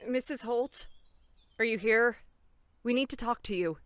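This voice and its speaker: A woman's voice: on the high side, measured pace, background noise, natural voice. A woman playing an earnest, serious young female camp counselor in a horror audio drama, polite but insistent.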